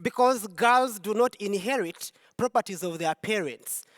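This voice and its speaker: A woman's voice: mid-range voice, lively intonation, measured pace, clean studio sound. A woman from Uganda, giving an emphatic, heartfelt personal testimony on a concert hall stage, repeating key phrases for weight. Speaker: speaker at a Pride event.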